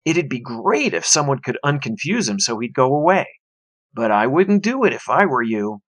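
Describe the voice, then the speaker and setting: A man's voice: mid-range voice, very animated, normal speed, no echo. A man voicing a glum, matter-of-fact young boy with a plainspoken, wry manner. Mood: wry resignation.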